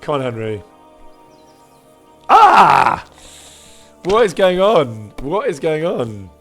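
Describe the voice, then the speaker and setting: A man streaming a game with casual, self-deprecating commentary, in a husky voice, chatting with his viewers. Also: on the high side, very animated, slow, a bit bright, soft background music.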